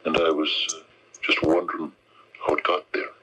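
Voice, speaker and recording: An actor playing a methodical detective who questions in a measured, hesitant, understated way in a 1970s radio drama. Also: male voice, a bass voice, level tone, very noisy. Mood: quietly probing. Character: methodical, quietly probing male detective. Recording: dull 1979 AM radio recording.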